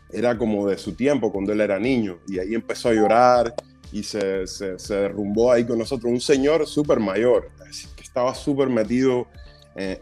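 A man from Cuba, a stage actor, telling stories in an animated, conversational interview. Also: on the low side. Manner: animated storytelling with fillers and restarts.

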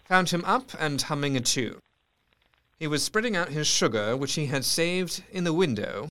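Audio drama lead: earnest Victorian asylum physician, formal diary narration, brooding intensity, mid-range voice. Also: male voice, medium pitch, sharp tone. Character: earnest, lovesick Victorian asylum doctor recording his diary.

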